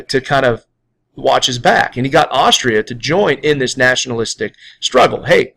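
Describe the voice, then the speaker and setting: An American man with a noticeably gravelly, creaky voice at an average male pitch. He teaches like a history instructor giving an informal recorded lecture, explaining things conversationally and often circling back to restate a point. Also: a bit bright. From United States.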